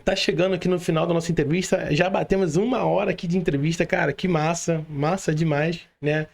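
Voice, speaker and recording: A man's voice: a little high, fairly even intonation, brisk, creaky. A Brazilian man hosting an online interview in informal, slangy speech, often adding little tag questions. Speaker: podcast host.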